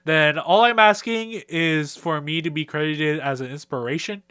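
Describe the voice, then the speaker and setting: A young man giving casual, rambling fan commentary over gameplay in a slangy, excitable way, acting out characters' lines as he goes. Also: on the high side, moderate pace, clean tone, no echo, studio quality. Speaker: video creator.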